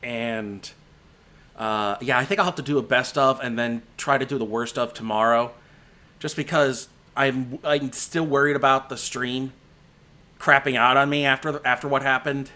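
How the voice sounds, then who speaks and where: A man's voice: mid-range voice, steady tone, moderate pace, sharp tone. A man with a strained, fading voice. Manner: rambling, frequent restarts and fillers. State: voice giving out, slightly hoarse. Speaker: movie critic. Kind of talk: casual spoken movie rundown on a stream.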